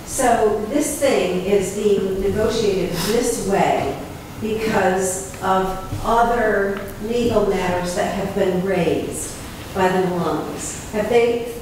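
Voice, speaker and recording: A woman's voice: mid-range voice, slow, hardly any pauses. A woman resident at a town meeting, apologetic and unsure as she asks for clarification. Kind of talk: public comment. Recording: very echoey hall from far off, noise.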